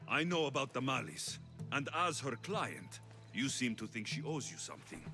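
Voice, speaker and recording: An actor voicing a confident, protective mercenary in a video game, firm and direct. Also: male voice, mid-range voice, big pitch swings, normal speed, vocal fry, sharp tone, full character voice. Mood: confronting. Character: confident Greek mercenary man, protective, blunt, calm. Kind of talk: video game cutscene acting. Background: background music.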